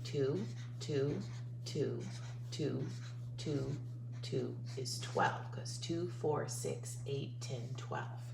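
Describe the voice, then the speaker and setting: Slow, low-voiced woman teacher, noisy recording. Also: sing-song, vocal fry. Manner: instructive, coaxing the listener with questions and repetition. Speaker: teacher reading aloud to young children. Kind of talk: picture-book read-aloud with teaching asides and questions.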